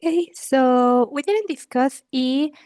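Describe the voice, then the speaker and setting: A woman's voice: high-pitched, animated, takes it slowly, dark tone, clean tone, dry recording, clean studio sound. A young woman from Mexico with a Mexican accent, a student talking chattily with some hesitations in a speaking exam. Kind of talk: exam conversation.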